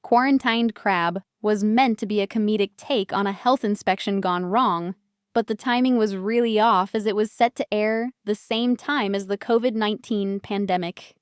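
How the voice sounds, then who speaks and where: A woman's voice: mid-range voice, moderate pace, clear, resonant tone, no echo, clean studio sound. A woman giving casual reaction commentary as a video host.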